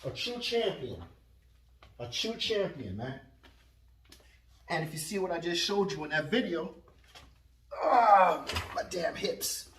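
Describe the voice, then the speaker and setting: A man's voice: fairly high pitch, takes it slowly, pauses often, far from the mic, slight background noise. A man in his forties, casually rambling to his viewers as host of his own channel. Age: 40s. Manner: rambling, animated, talks straight to viewers. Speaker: vlog host. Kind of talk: casual commentary. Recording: echoey room, voice away from mic.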